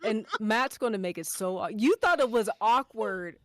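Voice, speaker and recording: A woman's voice: medium pitch, moderate pace, heavy background noise. A woman chatting casually and teasing, emphatic and given to repeating her phrases. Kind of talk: casual, teasing group chat.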